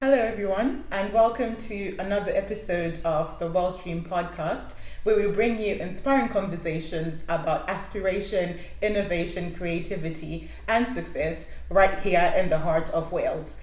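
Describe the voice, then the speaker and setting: A woman hosts a podcast. She opens with an upbeat welcome and then draws out her guest with short, encouraging prompts. Her voice sits a little low, with a faint music bed and an echoey room behind her. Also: normal speed, hardly any pauses, darkish tone. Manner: brief encouraging backchannels, upbeat introductions. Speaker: podcast host. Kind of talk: podcast host interview.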